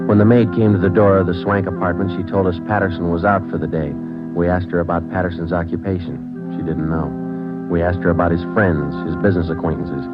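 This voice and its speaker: Low, deadpan actor's American police detective. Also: male voice, close to an everyday voice. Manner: clipped, matter-of-fact, deadpan. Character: terse, businesslike male police detective who narrates. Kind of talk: 1940s radio crime drama acting. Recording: muffled vintage radio recording with music.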